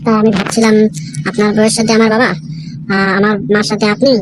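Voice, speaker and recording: A woman's voice: medium pitch, flat delivery, fast talker, noisy background, lightly acted. Woman voicing a cheeky cartoon brat, Boltu. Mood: scheming. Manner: sassy, back-talking, mocking. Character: cheeky cartoon schoolboy Boltu, rude and mouthy. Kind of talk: comic cartoon voice acting. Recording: music playing behind.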